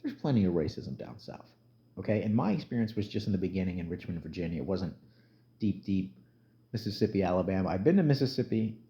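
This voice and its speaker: Middle-aged New man, low voice, blunt vlog commentary; dull lo-fi sound. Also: very animated, quick pace, warm tone. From New Jersey, USA. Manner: blunt, conversational, emphatic rants with plain talk. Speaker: YouTube commentator. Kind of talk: casual spoken commentary vlog.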